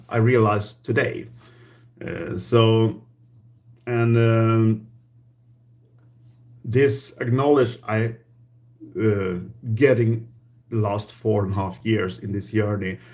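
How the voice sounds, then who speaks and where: A man with a Swedish accent, a native Swedish speaker, hosting a casual live stream Q&A in halting English and searching for words. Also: lowish voice, darkish tone. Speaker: livestream host.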